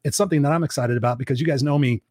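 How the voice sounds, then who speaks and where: Guy hosting his podcast live, hoarse from a cold, rattles on fast, super dry close sound. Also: mid-range voice, no room sound. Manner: fast, energetic pep-talk delivery. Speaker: podcast host.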